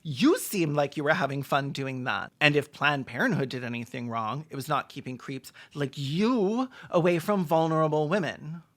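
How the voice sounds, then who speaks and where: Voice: medium pitch, normal speed, hardly any pauses, fairly bright tone. A video essayist giving sarcastic, casual commentary on a film, with plenty of swearing.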